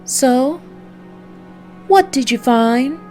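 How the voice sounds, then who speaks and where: A worried young lady acted by a game streamer asks questions in a noir mystery game. Her voice is pitched up high, with music behind it. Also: animated, very slow, a little mellow, heavily acted. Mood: anxious. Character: anxious young woman client in a noir mystery.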